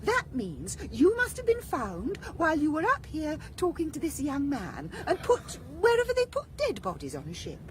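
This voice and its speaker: A woman's voice: huge pitch swings, moderate pace, hardly any pauses, crowd noise. An actress in a radio drama playing a chatty, breezy woman ghost who is effusive and matter-of-fact about death. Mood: brisk. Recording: clear rumbling background noise.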